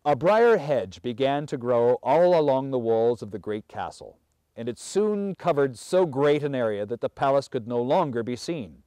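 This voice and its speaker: A man in his fifties reading a fairy tale aloud as a storyteller, with measured, theatrical and deliberate phrasing. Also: medium pitch, expressive, dark tone, smooth, dry recording. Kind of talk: dramatic fairy tale narration.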